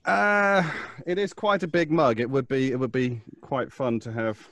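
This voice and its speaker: Man, middle pitch, casual chat, dry recording, video call. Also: moderate pace, fairly bright tone, no room sound. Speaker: voice actor on the show. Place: small room, video call.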